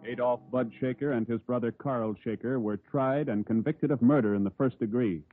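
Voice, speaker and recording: An American man reads as a formal, official 1950s radio announcer. His mid-pitched voice is dry and band-limited like an old broadcast, with faint music. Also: moderate pace, narrow, tinny audio.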